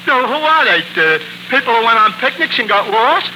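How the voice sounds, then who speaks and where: A man's voice: very high-pitched, big pitch swings, a little fast. An actor playing a dim-witted, good-natured male tavern regular, hesitant and dopey, in a 1940s radio comedy. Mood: dopey, puzzled. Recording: noisy 1940s radio broadcast recording.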